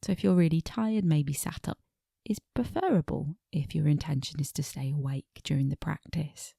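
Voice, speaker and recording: Woman, meditation teacher, creaky dark voice, soft breathy phrase endings, gentle pacing with pauses, guided body scan. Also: low-pitched, no echo, clean studio sound. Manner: gentle, unhurried, pausing between short phrases.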